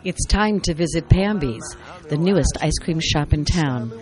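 An announcer reading a scripted radio ad in a smooth, enticing way. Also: medium pitch, expressive, a little slow. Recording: radio ad with music bed.

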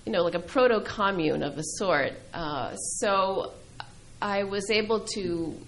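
A middle-aged woman who grew up speaking Greek, a poet answering interview questions thoughtfully, with many pauses and fillers. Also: fairly low pitch, creaky, slight background noise, rough recording. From New England. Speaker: poet and filmmaker guest.

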